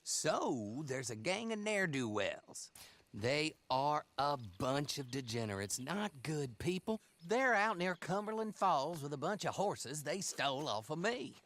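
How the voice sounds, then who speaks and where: An actor playing a cheerful, roguish, talkative horse thief in a western video game, with a folksy rural American drawl. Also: male voice, a little high, measured pace. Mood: sly, jovial. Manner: drawling, chuckling, folksy, animated.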